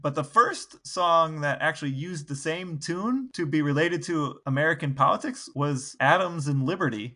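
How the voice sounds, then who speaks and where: A man's voice: fairly high pitch, sharp tone. A man co-hosting a podcast, telling anecdotes in a casual, wry, chatty way. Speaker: podcast co-host. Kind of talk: casual storytelling chat.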